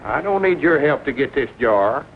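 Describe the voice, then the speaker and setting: A man's voice: medium pitch, animated, normal speed, natural voice. Obliging rural Southern shopkeeper, male actor, drawly and rustic, tinny 1940s soundtrack. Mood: matter-of-fact. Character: folksy, obliging small-town country storekeeper. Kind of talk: 1940s radio-comedy acting. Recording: narrow old film soundtrack, light hiss.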